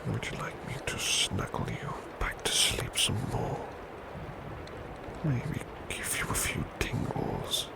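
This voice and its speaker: A man playing a gentle, devoted servant in a whispered ASMR roleplay, soothing a beloved to sleep with tender, softly repeated phrases. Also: medium pitch, huge pitch swings, slow, exaggerated voice. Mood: sleepy, tender. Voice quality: breathy whisper.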